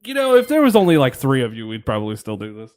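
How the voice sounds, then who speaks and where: Man, 30s, podcast co-host, casual chat, excitable, laughs and swears, mid-pitched voice. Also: fast, clean tone. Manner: excitable, laughs and swears, jumps between thoughts.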